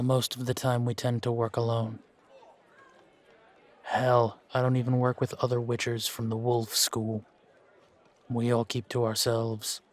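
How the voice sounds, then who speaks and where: A man playing a world-weary, laconic monster-slaying mercenary in a fantasy roleplay audio drama, speaking in a measured, musing way with pauses. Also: mid-range voice, close to an everyday voice. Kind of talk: fan-made roleplay audio drama, unhurried monologue to a companion.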